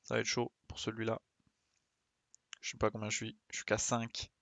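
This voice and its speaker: Male game streamer, lowish pitch, dry sound, casual muttered running commentary. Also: completely flat delivery, normal speed, creaky, fairly bright tone, no echo. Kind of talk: casual live gameplay commentary.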